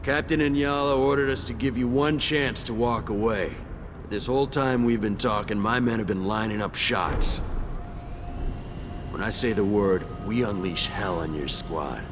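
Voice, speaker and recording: An actor playing a cocky, threatening mercenary squad leader in a video game, taunting and tough-talking. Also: male voice, fairly low pitch, normal speed, music in the background, lightly acted. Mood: smug menace.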